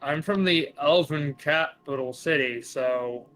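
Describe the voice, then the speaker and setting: Hesitant man with a higher-pitched voice. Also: slow, a bit bright, rough recording. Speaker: player in a tabletop roleplaying game. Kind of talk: casual in-character improvised roleplay chat. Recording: music behind the voice. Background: music.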